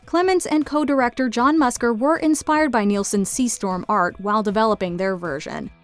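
Female narrator for scripted film-history video essay, higher register, light music underneath. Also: steady tone, normal speed. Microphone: close studio voice-over mic.

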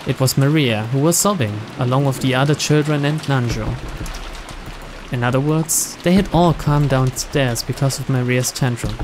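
A man's voice: medium pitch, moderate pace. A man reading visual novel narration aloud as a let's-play narrator. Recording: noticeable rain noise behind. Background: rain and game ambience.

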